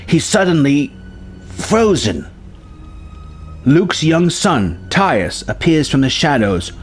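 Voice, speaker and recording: A man telling a film story as a narrator, with a dramatic delivery and pauses for suspense. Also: mid-range voice. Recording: music bed under the voice. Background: music.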